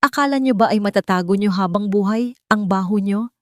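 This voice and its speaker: A woman's voice: medium pitch, brisk, dry recording, studio quality, pitched above the performer's own voice, close to an everyday voice. A woman voicing a betrayed, furious father who confronts his mother-in-law in a cold, menacing, accusing way. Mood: furious accusation.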